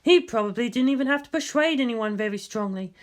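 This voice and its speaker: A woman voicing a cool, cynical, analytical female advisor in a story, measured and matter-of-fact. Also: fairly high pitch, animated, lightly acted.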